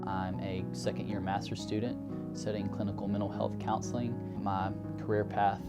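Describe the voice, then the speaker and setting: Young man, Southern American accent, lowish voice, earnest, reflective, says "like" a lot, music behind. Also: level tone, moderate pace. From Alabama, United States. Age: young adult. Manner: earnest, reflective, filler-heavy with "like" and "you know". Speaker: graduate counseling student. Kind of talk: documentary interview storytelling.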